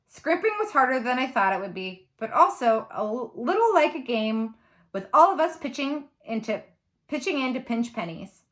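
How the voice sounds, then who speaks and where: Female read-aloud narrator, teacherly and expressive, slightly high pitch, children's fiction.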